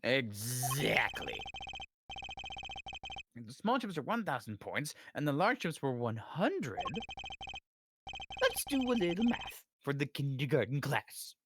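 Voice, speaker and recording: Someone playing a cocky, animated young male lawyer. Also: mid-range voice, takes it slowly, long pauses, background noise. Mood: smug. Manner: theatrical, sarcastic. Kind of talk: game fandub acting.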